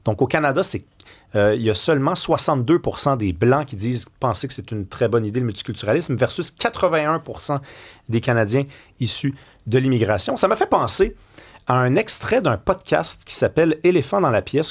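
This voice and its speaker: A male talk-radio host with a Quebec French accent and a husky edge to his voice. His pitch rises and falls a lot as he lays out the topic with opinions and the odd filler. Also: a little fast. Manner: animated, opinionated setup with fillers.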